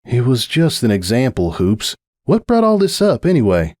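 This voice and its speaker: A man voicing a young female farm pony who is kindly, steady and teasing, with a folksy, reassuring way of talking. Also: mid-range voice, moderate pace, no echo, clean studio sound.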